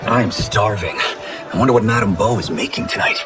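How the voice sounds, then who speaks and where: An actor playing an earnest, contented young farmer in a video game cutscene, sincere and gently good-humored. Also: male voice, lowish voice, normal speed, music in the background, lightly acted.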